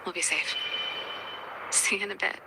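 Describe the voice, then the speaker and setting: An actress voicing a reflective, candid adult sister in a video game, with a breathy, husky voice and a measured, gently wry, confiding way of talking. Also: female voice, medium pitch, fairly even intonation, background noise, lightly acted. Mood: reassuring. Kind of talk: English video game voice acting.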